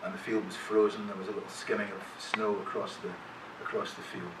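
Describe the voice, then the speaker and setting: A man's voice: lowish voice, level tone, brisk. Rambling middle-aged man in an echoey hall. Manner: rambling, self-deprecating, lots of restarts and chuckles. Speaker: actor guest on a convention panel. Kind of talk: casual anecdotes to a live audience. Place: convention panel room. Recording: echoey hall, distant mic, loud room noise.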